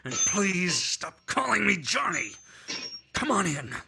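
A man's voice: high voice, sing-song, takes it slowly, creaky, background noise, full character voice. An actor playing a nervous man hiding his past, hesitant and stammering, in 1950s-style radio drama. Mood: tense.

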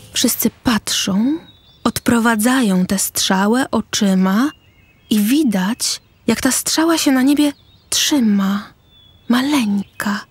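A woman narrating a radio drama deliberately, pausing between short phrases. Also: medium pitch, slight background noise.

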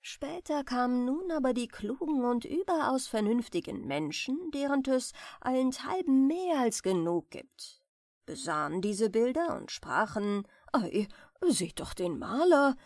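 A woman softly narrating fairy tales for bedtime in an unhurried, expressive storytelling manner. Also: high voice, dry recording, studio quality. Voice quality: soft. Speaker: audiobook narrator. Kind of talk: gentle bedtime fairy-tale reading.

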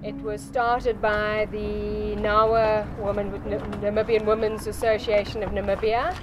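A woman in her fifties speaking slowly with many pauses and fillers in an outdoor interview. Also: mid-range voice, level tone. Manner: slow, hesitant, many fillers. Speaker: volunteer, midwife. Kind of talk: documentary interview. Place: outdoors at tables. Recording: loud music bed under the voice.

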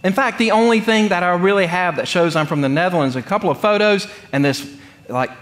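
A middle-aged man from North Carolina, preaching in a conversational, humorous storytelling way with deliberate pauses. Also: sits high, a bit bright. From North Carolina, United States. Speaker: pastor. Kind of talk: sermon with personal storytelling. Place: church sanctuary, speaking from the pulpit.

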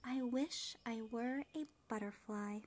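A woman's voice: fairly high pitch, slow pace, a little mellow. A woman voicing a small, sulky, self-doubting young male cricket in a storybook, plaintive and defiant. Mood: sad, plaintive.

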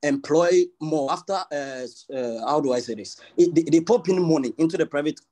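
A man's voice: a little high, moderate pace, warm tone. A man on a video call giving debate remarks, hesitating and searching for his words. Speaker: panelist and moderator.

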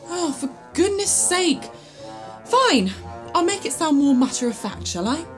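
A woman playing a sensible, exasperated young householder in an audio drama, brisk and argumentative. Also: sits high, sing-song, soft background music. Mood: exasperated, firm. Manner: brisk, argumentative, matter-of-fact.

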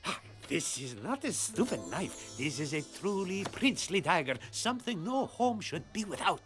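A man's voice: high voice, very animated, normal speed, music in the background. A man voicing a sly, wheedling knife merchant in a desert bazaar for a cartoon. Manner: wheedling, salesman-like. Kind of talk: cartoon voice acting.

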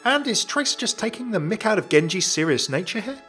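A man's voice: high-pitched, very animated, brisk, bright, forceful tone, soft background music. A young man hosting and narrating a scripted fan video in a chatty, jokey way.

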